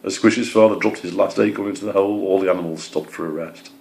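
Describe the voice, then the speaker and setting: Male storybook reader, lowish voice with plenty of fry, narrating for children. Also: fairly even intonation, fast talker, darkish tone.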